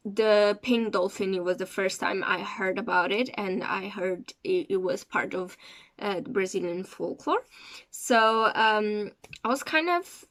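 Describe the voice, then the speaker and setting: Woman, talks slow, stops a lot to find her words, chatty review vlog. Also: medium pitch. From Cape Verde. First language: Portuguese. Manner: hesitant, searching for words as she goes. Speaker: series reviewer.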